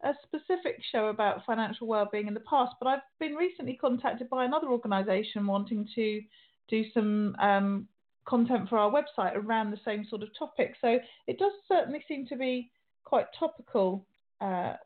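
A woman's voice: a little high, moderate pace. A middle-aged woman hosting an interview show, talking in a chatty way with restarts and fillers. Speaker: radio show host. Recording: tinny phone-like sound.

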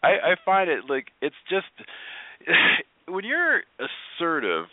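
A man's voice: on the high side, huge pitch swings, takes it slowly, no echo. A man being interviewed as a guest, explaining in a conversational, emphatic way and repeating phrases for emphasis. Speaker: guest, workplace positivity trainer and speaker. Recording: narrow phone-like band, dry.

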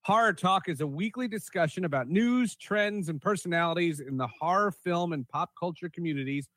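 High-voiced man hosting a podcast. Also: fairly quick, a bit bright, no room sound. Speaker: podcast host. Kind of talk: casual banter and scripted show introductions.

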